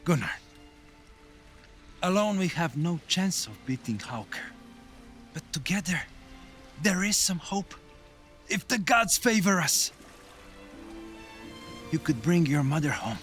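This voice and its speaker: Aggrieved, shrewd Viking jarl. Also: male voice, on the high side, sing-song, takes it slowly, pauses often, a bit bright, heavily acted. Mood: persuasive. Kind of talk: cinematic game dialogue. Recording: studio voice over game music.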